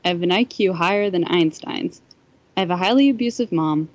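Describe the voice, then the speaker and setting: A woman's voice: fairly low pitch, a little fast. A woman reading a first-person story aloud on a podcast, sometimes stumbling over the words. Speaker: fan fiction podcast reader.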